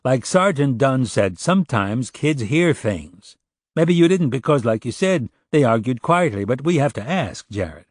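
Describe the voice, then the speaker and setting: A man's voice: medium pitch, animated, measured pace, dry recording, clean studio sound, voice pushed up. A man voicing a wry, insistent male police detective questioning someone in an audiobook. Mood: pressing. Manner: wry, pressing. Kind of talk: audiobook character dialogue.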